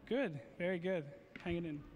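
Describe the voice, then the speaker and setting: A man's voice: sits high, moderate pace, a little mellow, a little hiss or hum. A young man in college answering an on-air interview with brief, easygoing replies. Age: young adult. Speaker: college singing group leader.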